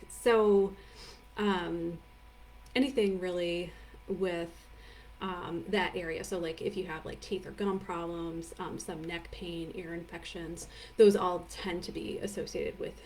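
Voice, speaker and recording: A woman's voice: fairly low pitch, fairly even intonation, slow pace, a little hiss or hum. Chatty woman teaching, a bit far off. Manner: chatty and warm-hearted, many fillers like "um" and "like"; reads viewer comments aloud and answers them. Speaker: energy healing teacher. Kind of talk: live online mini training, casual teaching. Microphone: live video stream.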